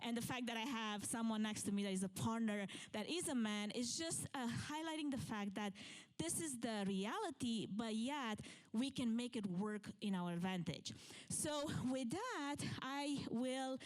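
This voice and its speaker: A woman's voice: fairly high pitch, animated, unhurried. Romanian-accented woman giving a keynote. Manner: earnest, conversational, with fillers and restarts. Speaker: keynote speaker. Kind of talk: motivational conference talk. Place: conference room with audience.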